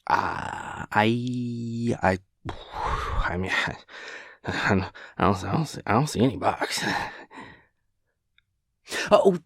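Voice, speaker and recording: A man playing a chaotic, nervous, evasive boyfriend in a roleplay audio, stammering and rambling with halting hesitations. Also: on the low side, lively intonation, vocal fry, a bit bright, no echo, clean studio sound, full character voice. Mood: flustered, evasive. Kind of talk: improvised-sounding roleplay audio monologue.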